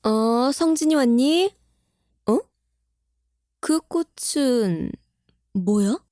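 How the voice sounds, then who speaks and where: Woman acting kindly unhurried doctor, soft mellow voice. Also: a little high, lively intonation, dry recording, clean studio sound. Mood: friendly teasing. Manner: gentle, familiar, reassuring. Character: kindly, encouraging female hospital doctor. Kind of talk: Korean audio drama acting.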